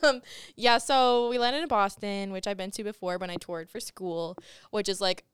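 A woman's voice: medium pitch, moderate pace, clean tone, no echo, clean studio sound. A woman co-hosting a podcast, telling a travel story in a chatty, giggly way with lots of fillers. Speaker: podcast co-host. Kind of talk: casual chat. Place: radio studio.

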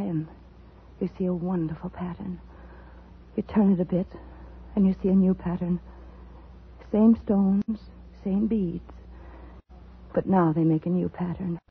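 Casting: clinging, anxious middle-aged wife, 1950s radio thriller. Intense, dramatic delivery, thin phone-like vintage sound. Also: female voice, fairly low pitch, fairly even intonation, a little slow, long pauses, husky, full character voice. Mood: tense, wistful. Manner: dramatic, intense delivery, swinging from hushed narration to pleading. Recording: tinny, noisy 1950s radio recording.

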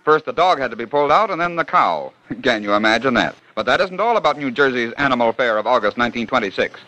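A man narrates odd news stories like a genial showman from an old radio program. His voice is bright and clear-cut, and the recording sounds dull and muffled. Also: mid-range voice, big pitch swings, fast. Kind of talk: scripted 1940s radio narration.

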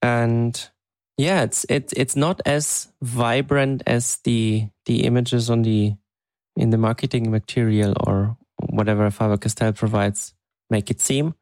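A man's voice: mid-range voice, steady tone, measured pace, a little mellow, no room sound. A man co-hosting a podcast in casual conversation, with hesitant, thoughtful phrasing. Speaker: podcast co-host. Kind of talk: casual chat.

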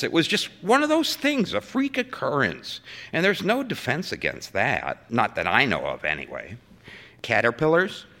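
A man's voice: mid-range voice, pushed and bright, exaggerated voice. An actor playing a defensive, exasperated civil servant testifying to senators, folksy and emphatic, stammering as he justifies himself in a dramatic story reading. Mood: defensive, explaining.

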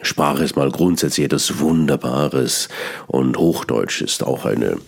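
A man from Bern with a Swiss German accent, hosting a musing radio talk on language with small restarts and pauses. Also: rumbling low, gravelly, breathy edge. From Switzerland (Bern). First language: Bernese Swiss German. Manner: musing, digressive, with restarts and ähs. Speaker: radio host, satirist. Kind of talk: spoken philosophical radio column.

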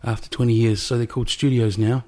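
A man's voice: mid-range voice, level tone, quick pace, a little mellow. A man from New Zealand with a Kiwi accent, hosting a casual interview chat from his small apartment, rambling with many restarts. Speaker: podcast host. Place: small rented studio apartment.

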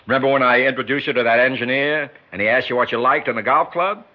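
An actor playing a slick, pushy sports manager in a 1960s radio drama, brisk and persuasive in a folksy salesman's way. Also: mid-range voice, lightly acted. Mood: smooth persuasion. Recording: muffled, faintly noisy 1960s radio recording.

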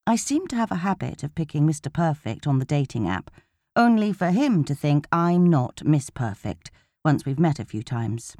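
A woman reading a first-person novel as an audiobook narrator, in an expressive, conversational storytelling way. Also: sits low, normal speed, no echo, clean studio sound.

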